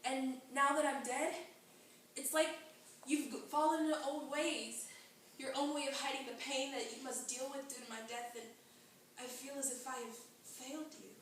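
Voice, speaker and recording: A woman's voice: high voice, steady tone, moderate pace, lightly acted. A woman performing a stage monologue as an earnest, chiding dead sister, genteel and old-fashioned, recorded in an echoey room. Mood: worried, sorrowful. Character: chiding, mournful dead sister, a small-town lady. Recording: echoey room from far off, noisy.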